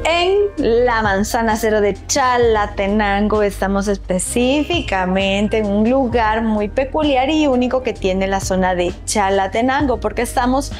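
A woman in her forties with a Salvadoran Spanish accent, hosting a promotional interview in a small café, talking effusively in long lists. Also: mid-range voice. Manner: enthusiastic, effusive, many list-style phrases. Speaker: host.

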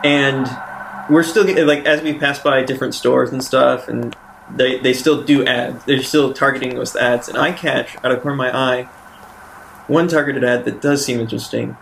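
A man's voice: mid-range voice, steady tone, moderate pace, creaky, fairly bright tone, slight background noise, rough recording. A man playing a tabletop roleplaying game, chatting casually and playfully as he says what his character does. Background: faint music.

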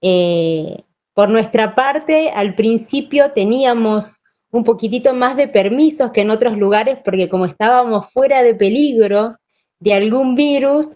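A woman's voice: fairly high pitch, measured pace, warm tone. A woman in her thirties with an Argentine accent from Santiago del Estero, a teacher talking informally on a video call. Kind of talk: informal online presentation. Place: wood-panelled room at home. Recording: dull video-call audio.

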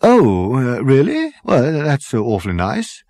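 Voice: medium pitch, wildly swooping pitch, a little slow, dark tone, no echo, studio quality, voice pushed up, heavily acted. Narrator doing a mild bumbling captain man, polite n vague, hesitant, comic sci-fi story.

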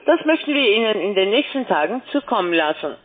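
A woman reads out a scripted telemarketing pitch. Her voice sits at a medium pitch and comes through a thin, band-limited telephone connection. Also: sing-song, fairly quick. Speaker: call-centre telemarketer. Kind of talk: scripted phone sales pitch. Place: phone call. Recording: muffled, band-limited phone line.

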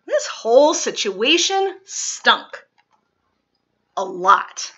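A woman reads a children's picture book aloud with expressive, deliberate dramatic emphasis, recorded in a living room. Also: high voice, dull audio. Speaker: storytime reader.

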